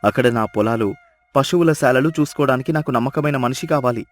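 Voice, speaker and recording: A man's voice: medium pitch, fairly quick, lightly acted. A man playing a well-off, emotional village brother-in-law in a dubbed moral-story cartoon, with earnest, emphatic delivery. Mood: grateful, commanding. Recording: music behind the voice.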